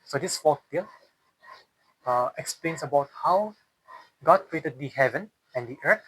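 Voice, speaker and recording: A man's voice: fairly high pitch, lively intonation, unhurried, a bit bright, slightly rough, poor audio. A man with a Northeast Indian accent telling a story in an interview, explaining as he goes and often repeating and rephrasing his phrases. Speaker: interviewee telling a folk tale. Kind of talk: unscripted oral storytelling in an interview.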